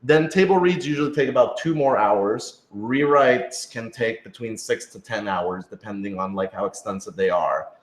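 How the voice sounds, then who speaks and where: A man's voice: lowish voice, moderate pace, hardly any pauses. A man in a casual Q&A chat, explaining things in a rambling way full of filler words. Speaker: writer and audio editor of an audio drama. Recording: roomy, echoey room sound.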